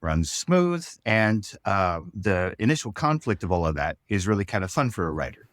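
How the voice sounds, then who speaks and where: A man's voice: lowish voice, very animated, moderate pace, no echo, studio quality. A man speaking as an interview guest, explaining things thoughtfully and searching for his words in a conversational way. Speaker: series writer, interview guest.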